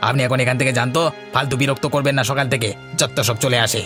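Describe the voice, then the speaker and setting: A man's voice: mid-range voice, fairly even intonation, quick pace, natural voice. A man playing a rude, hot-headed village bully in a cartoon, with a curt, scornful, aggressive delivery. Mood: irritated, scornful. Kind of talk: Bengali cartoon story voice acting. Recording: music behind the voice.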